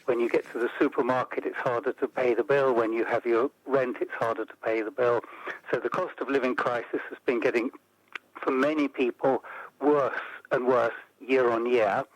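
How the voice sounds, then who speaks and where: A man as an interview guest, explaining policy in a measured way and building points into lists. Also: medium pitch, steady tone, poor audio. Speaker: interview guest, report author. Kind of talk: radio interview.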